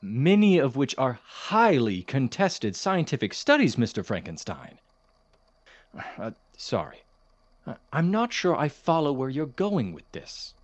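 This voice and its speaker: A man playing a measured, kindly science professor in an audio drama, formal and precise, hesitant and gently reproving. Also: medium pitch, wildly swooping pitch, no room sound. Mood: sceptical, firm.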